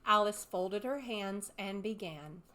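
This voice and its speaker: A woman's voice: medium pitch, takes it slowly, sharp tone. A woman reading scripted storybook narration as an audiobook narrator.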